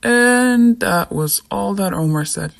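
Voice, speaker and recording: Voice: medium pitch, expressive, slow, vocal fry, fairly bright tone, faint music behind. A narrator, a native Somali speaker, reads a scripted debunking in an indignant tone. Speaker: video essay narrator.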